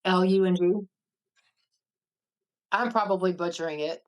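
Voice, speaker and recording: Female, slightly low register, relaxed conversational delivery for an informal group talk. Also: unhurried. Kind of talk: casual chat.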